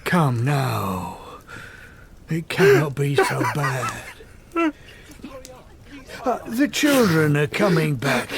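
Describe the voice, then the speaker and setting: An actor playing a gentle old blind man in a gothic audio drama, speaking kindly and reassuringly. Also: on the high side, wildly swooping pitch, very slow, long pauses, over a noisy crowd, heavily acted.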